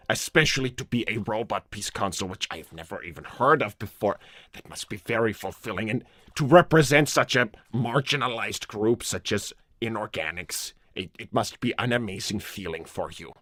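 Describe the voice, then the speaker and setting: A man playing an earnest, gentle male character in an improvised livestream roleplay. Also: on the low side, lively intonation, moderate pace, no room sound.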